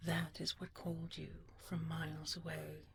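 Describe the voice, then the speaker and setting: A woman playing a tender, regal dragon queen in an intimate audio roleplay, soft and breathy, often dropping to a whisper, with gentle, halting endearments. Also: low-pitched, monotone, background noise. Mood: tender, earnest. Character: tender, regal female dragon queen consoling her beloved. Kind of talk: intimate audio roleplay, sleep-aid storytelling.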